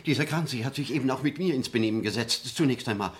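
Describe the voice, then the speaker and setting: An actor playing a middle-aged lawyer in a radio play, precise, dry and measured as he explains things. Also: mid-range voice, slight background noise, lightly acted. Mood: sober, concerned. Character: sober, precise lawyer, matter-of-fact. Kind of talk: German radio play acting.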